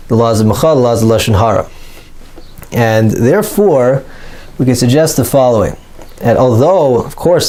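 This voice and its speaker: A man's voice: medium pitch, darkish tone. Man teaching a methodical religious law class. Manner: analytical, methodical, stressing key points. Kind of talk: explanatory lecture on religious law.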